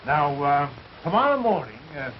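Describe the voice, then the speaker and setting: An actor playing a grasping, patronizing quack doctor in a 1930s film melodrama. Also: male voice, fairly high pitch, sing-song, normal speed. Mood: coaxing. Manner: patronizing, salesman-like. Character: smooth-talking, grasping quack doctor, patronizing older man. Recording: dull, hissy 1930s film soundtrack.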